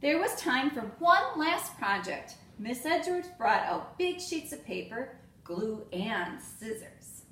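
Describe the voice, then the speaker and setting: A woman reads a picture book to young children in a high, very lively voice. She is expressive and speaks to them directly. The room is echoey, with faint noise behind her. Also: slow. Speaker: teacher reading aloud to children. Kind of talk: animated children's storybook read-aloud.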